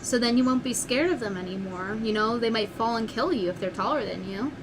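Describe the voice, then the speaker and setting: A woman's voice: fairly high pitch, a little fast, background noise. A woman hosting a call-in show, teasing and mocking her callers bluntly. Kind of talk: mocking comedic phone interview.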